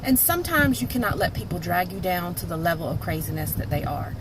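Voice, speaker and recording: A woman's voice: lowish voice, very animated, fast, background noise. A woman in her late thirties from North Carolina with a Southern accent, telling a story in a chatty, emphatic way with lots of "like" fillers. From North Carolina, USA. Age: 30s. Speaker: vlog host. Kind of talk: casual storytelling monologue.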